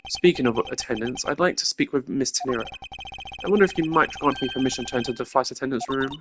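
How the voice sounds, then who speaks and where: A poised, aloof male prosecutor played by a man, speaking in formal, measured sentences with dry confidence. Game music plays clearly underneath. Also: medium pitch, close to an everyday voice. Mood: composed.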